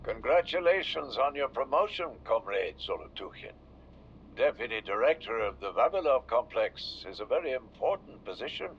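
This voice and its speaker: An actor playing a visionary Soviet official in a video game, speaking formally and grandly. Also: male voice, mid-range voice, very animated, normal speed. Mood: formal, proud. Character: visionary Soviet official congratulating a new deputy. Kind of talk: video game recorded-message acting. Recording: muffled audio with faint noise.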